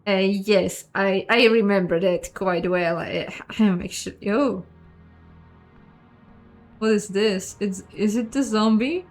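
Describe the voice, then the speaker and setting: A woman plays through a game and talks her thoughts out loud in a casual, self-deprecating way. Her pace is slow and her pitch sits mid-range for a woman. Soft music runs underneath. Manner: chatty, self-deprecating, thinking aloud. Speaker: host of a game playthrough, voicing the dialogue. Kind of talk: casual let's play commentary with read-out dialogue.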